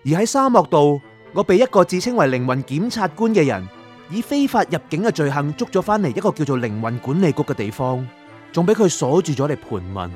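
A man's voice: fairly high pitch, very animated, fairly quick, darkish tone. A man with a Hong Kong Cantonese accent acting a bewildered, naive young man in a radio drama, speaking hesitantly. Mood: pensive narration. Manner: hesitant, stammering. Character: bewildered young man lost in a spirit world, pleading and naive. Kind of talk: radio drama acting with first-person narration. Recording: music behind.

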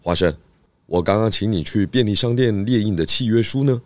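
A man with a Taiwanese Mandarin accent playing a calm, clever pig detective in a children's radio drama, talking in a measured, persuasive way. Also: lowish voice, no echo, lightly acted.

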